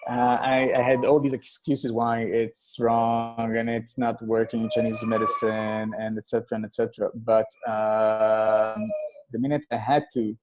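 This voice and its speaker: A man born in Kenya, a guest acupuncturist, telling stories in a casual interview with many pauses and restarts. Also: mid-range voice, little pitch movement, darkish tone, a little hiss or hum. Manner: halting, many fillers and restarts.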